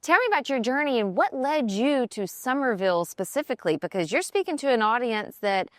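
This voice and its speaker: A woman's voice: a little high, animated, fairly quick, fairly bright tone, no echo, studio quality. A woman hosting a podcast, asking questions in a casual, conversational interview style.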